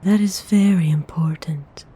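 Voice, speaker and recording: A woman voicing a gentle, courteous vampire noblewoman in an ASMR roleplay, whispering with breathy, husky, tender formality. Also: fairly low pitch. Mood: warm, attentive. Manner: slow, hushed, tenderly formal.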